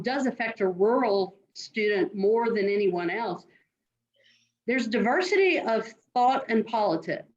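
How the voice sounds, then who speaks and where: Middle-aged woman, admissions dean; earnest, direct, muffled call audio. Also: mid-range voice. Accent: non-New York American. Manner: earnest, direct, advising students. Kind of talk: answering interview questions.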